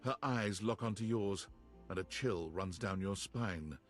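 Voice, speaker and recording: A man's voice: on the low side, measured pace, faint music behind, close to an everyday voice. A man playing a dramatic narrator in a video game, reading scripted narration in a theatrical, suspenseful way. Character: dramatic male storybook-style narrator of a romance game.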